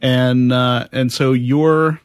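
A man hosts a relaxed podcast conversation. His voice sits at an ordinary pitch and he talks haltingly, feeling his way through sentences. The sound is dry, with little room in it. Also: smooth, no room sound. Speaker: podcast host and interviewer. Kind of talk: casual podcast chat.

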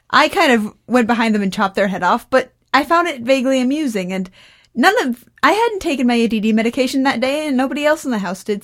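A teenage girl talks casually as a young podcast creator. Her voice is fairly high. She rambles, cuts into her own sentences and keeps a dry, joking manner. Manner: rambling, self-interrupting, dryly joking. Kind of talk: casual chat.

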